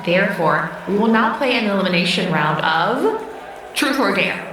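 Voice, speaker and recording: A woman's voice: medium pitch, expressive, close to an everyday voice. Woman voicing a commanding house AI, very echoey. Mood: imperious. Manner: formal, measured, coldly commanding. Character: controlling childlike female smart-house artificial intelligence. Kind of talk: scripted audio drama acting. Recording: roomy echo, distant, faint noise.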